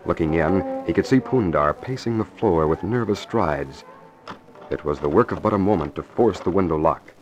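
A man's voice: low-pitched, expressive, moderate pace. A man giving scripted narration for a 1940s radio drama. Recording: dull vintage 1940s radio recording. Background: music.

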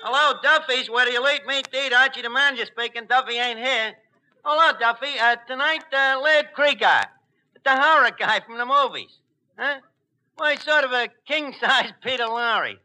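An actor playing a brash, chummy, uneducated New York tavern manager in a 1940s radio comedy, with slangy, hesitant bluster and mangled words. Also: male voice, very high-pitched, moderate pace, pushed and bright, heavily acted. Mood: breezy, wisecracking. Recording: noisy old 1940s radio broadcast recording.

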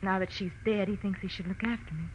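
A woman's voice: medium pitch, steady tone, fast talker, natural voice. An actress playing an anxious young woman in a 1940s radio detective drama, breathless and hesitant. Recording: muffled, noisy old radio recording.

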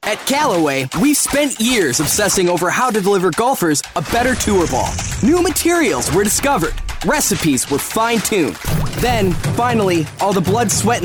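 A man reading a scripted ad in a lively, casual and punchy way. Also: high voice, moderate pace. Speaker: ad announcer. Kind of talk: scripted ad read. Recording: music bed under voice.

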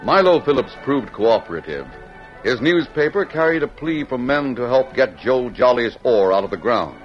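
A man narrating a western adventure in dramatic, scripted old-time radio style. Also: medium pitch. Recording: dull old radio recording.

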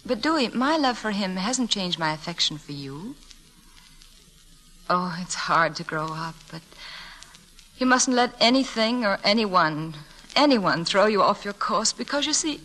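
An actress playing a gentle, earnest woman schoolteacher in theatrical, sentimental 1940s radio drama style. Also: mid-range voice, expressive, measured pace, rough recording. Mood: earnest, consoling. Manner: tender, earnest, theatrical delivery.

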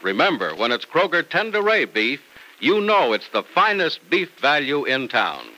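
A man's voice: on the high side, sing-song, moderate pace, sharp tone, rough recording. A man announcing in a polished, emphatic 1940s radio style, reading from a script. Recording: old radio broadcast recording.

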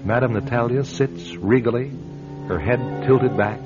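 A man's voice: mid-range voice, moderate pace, lightly acted. A man narrating a classic radio drama in a dramatic, atmospheric scripted style. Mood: atmospheric, measured. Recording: muffled vintage radio, music underneath.

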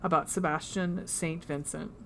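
Casual woman podcaster, deep for her sex, chatty and profane with lots of ums. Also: steady tone, moderate pace, vocal fry, a bit bright, slight background noise. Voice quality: low voice. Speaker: podcast co-host. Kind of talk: casual chat.

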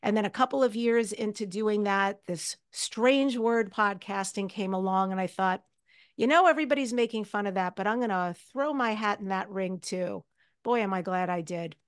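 A woman's voice: mid-range voice, normal speed, smooth. A woman hosting a podcast interview in a conversational, effusive and emphatic way.

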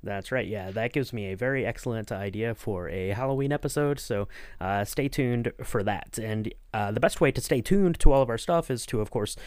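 Male podcast host, low register, loose and halting conversational delivery, close dry sound. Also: darkish tone, no room sound. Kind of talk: casual podcast chat.

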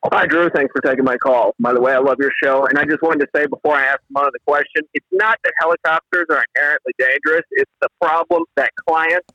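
Bright-voiced man calling in on a dull line. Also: on the high side, fairly even intonation, fast talker, hardly any pauses, dry recording. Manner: earnest, explanatory. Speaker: caller. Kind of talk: call-in radio talk.

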